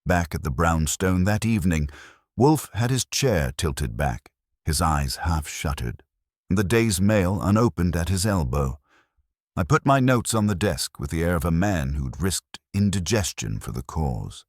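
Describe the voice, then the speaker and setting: A man's voice: low voice, very animated, a little slow, dry recording, clean studio sound. A man reading a scripted first-person detective story as an audiobook narrator.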